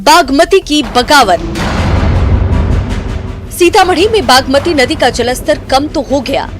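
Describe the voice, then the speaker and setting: A woman's voice: high-pitched, lively intonation, slow pace. A woman reading a scripted, dramatic news narration. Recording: music bed behind voice.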